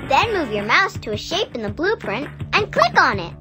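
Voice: sits high, very animated, moderate pace, music in the background. A child-voiced performer playing a cheerful, encouraging little girl who coaches young players in a sing-song, exclamatory way in an educational cartoon game.

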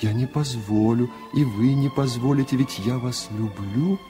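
A man's voice: medium pitch, measured pace, over music, close to an everyday voice. A man playing a shy young student in love, speaking with tender, adoring earnestness in a literary radio reading. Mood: lovestruck, earnest.

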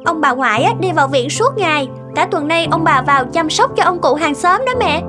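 A woman's voice: extremely high pitch, animated, brisk, over music. A woman playing a caring, curious young granddaughter in a cartoon, asking things in a concerned, plaintive way. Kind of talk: cartoon dialogue acting.

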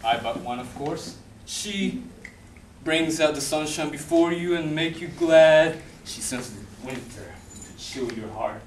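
A man's voice: fairly high pitch, expressive, a little slow. A young man playing a mocking, smug Death in an amateur stage play, with a sardonic, taunting delivery. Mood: persuasive. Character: mocking, smug hooded Death, male, bargaining for souls. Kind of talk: theatrical stage acting. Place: indoor theatre stage. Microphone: distant audience camera. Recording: echoey theatre stage from far off, faint noise.

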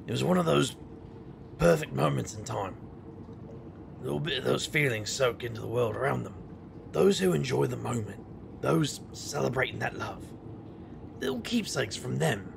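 A man playing a cocky, wry magician hero in an urban fantasy audio drama, gravelly and bantering. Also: medium pitch, noisy background. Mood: fond. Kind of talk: audio drama dialogue and first-person narration.

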